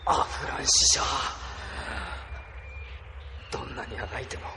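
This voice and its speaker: A man's voice: racing, reverberant, distant mic, very noisy, close to an everyday voice. A man playing a ruthless military officer and mech pilot in a sci-fi audio drama, scornful and taunting. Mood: weakened, defiant.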